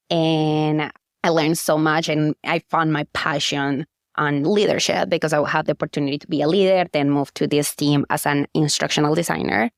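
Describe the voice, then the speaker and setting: A woman from Colombia with a Colombian Spanish accent, a guest coach talking about her background in a friendly, unhurried way with small pauses. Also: deep voice, no room sound, clean studio sound. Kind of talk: casual podcast interview.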